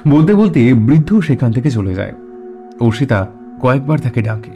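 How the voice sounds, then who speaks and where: A man's voice: medium pitch, expressive, moderate pace, mellow, lo-fi sound, soft background music. A man narrating a scripted suspense story in a dramatic storytelling style.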